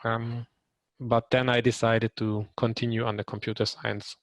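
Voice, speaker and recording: A man from Hungary, likely in his thirties, introducing himself on a video call with many pauses and ums. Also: mid-range voice, level tone, no room sound.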